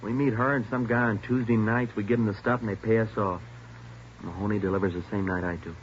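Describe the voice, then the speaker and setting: A man's voice: fairly low pitch, fairly even intonation, fast talker, close to an everyday voice. Man as young male hoodlum, terse, evasive, defiant, old radio drama, muffled recording with faint noise. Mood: grudging, resigned. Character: defiant, cagey young car-burglary gang leader under questioning. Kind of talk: 1950s radio crime drama acting.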